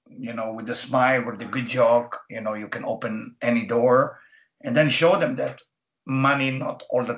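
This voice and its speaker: A man from Israel with an Israeli accent, a Hebrew speaker talking in halting English with many fillers as a guest on a radio interview. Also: mid-range voice, fairly bright tone. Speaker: guest, Kabbalah teacher.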